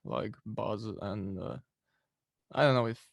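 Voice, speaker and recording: A young man from Poland, a musician guest, answering interview questions in a relaxed, rambling way with lots of fillers. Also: lowish voice, slow pace, gravelly, dry recording, studio quality.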